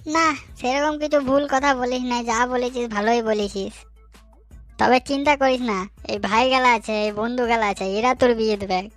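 A man playing a bossy, teasing young friend in a comic cartoon, using colloquial rural western West Bengal dialect and a pitched-up voice. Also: fairly even intonation, moderate pace, a bit bright, faint music behind. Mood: mock reassuring. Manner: colloquial, mocking, scolding.

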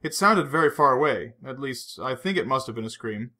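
A man's voice: mid-range voice, lively intonation, a little fast, sharp tone. A man reading aloud and voicing a shaken, apologetic young woman with an earnest, well-spoken manner. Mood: tearful.

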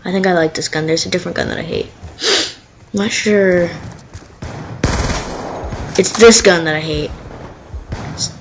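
A woman with a sniffly cold, casually commenting on her own game as she plays, chatty and quick to cry out in fights. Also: lowish voice, vocal fry. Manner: excitable, chatty, cries out during fights. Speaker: gameplay commentator. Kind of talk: casual live gameplay commentary. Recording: faint gunfire and game noise behind.

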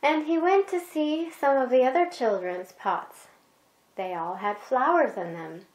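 Slow-paced woman telling a bedtime story. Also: high-pitched, darkish tone, echoey room, poor audio. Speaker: storyteller reading to a child.

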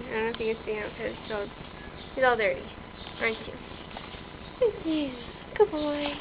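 A teenage girl vlogging in a horse stable, cooing to the horses in a whiny baby-talk voice, filmed on a handheld camera. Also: high voice. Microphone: handheld camera close up. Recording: muffled lo-fi camera audio with loud noise.

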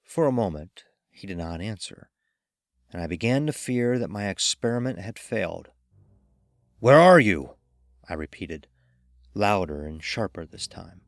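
A man narrating a horror story in the first person with deliberate, dramatic delivery. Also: deep voice, lively intonation, dry recording, studio quality.

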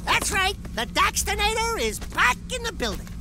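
A man voicing a small, boastful, wisecracking animal sidekick in a game, brash and excitable in cartoon style. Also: very high-pitched, moderate pace, over music, full character voice. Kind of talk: exaggerated comic cartoon game acting.

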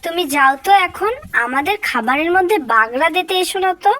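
A woman's voice: very high-pitched, animated, fairly quick, bright, forceful tone, a little hiss or hum, heavily acted. A woman voicing a haughty, dismissive young girl in a children's moral cartoon, bossy and scornful.

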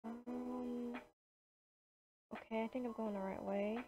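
A woman's voice: on the high side, steady tone. A young woman playing a shy, hesitant new worker in an improvised video game roleplay. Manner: hesitant, unhurried. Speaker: roleplay gamer. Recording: dull lo-fi home mic.

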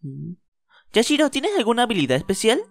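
A man voicing a playful, teasing boy ghost in a fan dub, swinging from low murmurs to loud lines. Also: big pitch swings, brisk, creaky, exaggerated voice. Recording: faint anime music behind.

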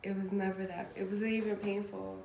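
A woman's voice: mid-range voice, level tone, fairly quick, gravelly, mellow, recorded from a distance. A woman in her twenties chatting casually as a patient, with a dramatic, slangy, exclamatory way of talking. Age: 20s. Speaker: chiropractic patient. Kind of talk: casual reactive chat during a treatment. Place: small treatment room indoors. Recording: roomy indoor echo, faint background noise.

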